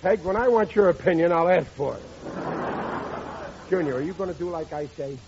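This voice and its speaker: A man's voice: on the high side, animated, fairly quick. An actor playing a stubborn, boastful, bumbling middle-aged American father in an old-time radio sitcom, with a blustering manner. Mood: indignant. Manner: blustering, malaprop-prone. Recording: muffled, noisy vintage radio recording.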